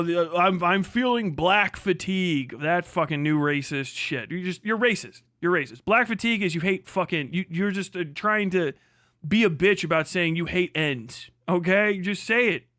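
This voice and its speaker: A man's voice: sits high, a bit bright, no echo, studio quality. A man from the United States giving a casual, profane opinion rant as a livestream host, blunt, irreverent and emphatic.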